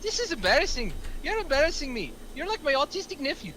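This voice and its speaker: An exasperated, sweary small-time crook played by a woman at a table read. The voice is high and bright with lively swings, over a faintly noisy recording. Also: fast, heavily acted. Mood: exasperated, scolding. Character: Eddie, exasperated foul-mouthed male crook, bickering with his partner. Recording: clear background noise.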